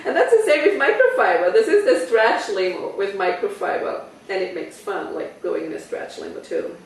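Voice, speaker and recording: A woman's voice: medium pitch, animated, normal speed. A woman with an Austrian accent leads a casual product talk in a private living room. She explains step by step and often turns to her audience with questions. The sound is roomy and echoey, and she is caught from some distance away. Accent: Austrian German. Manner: chatty and explanatory, asks listeners questions. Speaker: product demonstrator. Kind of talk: home party product talk.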